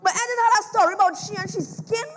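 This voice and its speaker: A woman's voice: extremely high pitch, fast. A woman playing a jealous, teasing old river serpent spirit on stage, melodramatic, with a Trinidadian accent. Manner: expressive, melodramatic. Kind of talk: theatrical stage dialogue. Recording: echoey theatre hall, some background noise.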